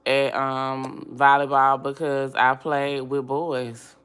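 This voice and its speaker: A woman with a low, scratchy voice hosting a casual livestream, reading viewer comments aloud and answering them in a chatty, upbeat way. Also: level tone, slow pace, a bit bright, clear, resonant tone. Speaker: livestream host.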